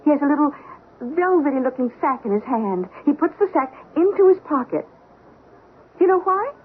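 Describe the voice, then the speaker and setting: A high-pitched scheming young wife, tinny radio. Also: female voice, expressive, normal speed. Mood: insistent, coaxing. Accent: American. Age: young adult. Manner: coaxing, insistent. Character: scheming, persuasive young pregnant wife, coolly determined. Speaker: radio drama actress. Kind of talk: 1970s American radio drama acting. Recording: tinny, noisy old radio broadcast recording.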